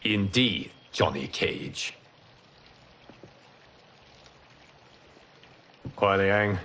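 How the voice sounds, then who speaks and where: A man voicing a calm, wise god of fire in a video game, speaking in a solemn, formal and measured manner. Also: deep voice, slight background noise, exaggerated voice. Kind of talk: cinematic video game acting.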